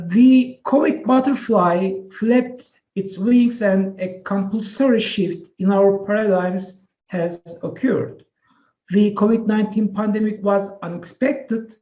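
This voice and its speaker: A man whose first language is Turkish, an associate professor giving a measured, prepared webinar talk with some fillers. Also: high-pitched, mellow, reverberant, highs cut off. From Turkey.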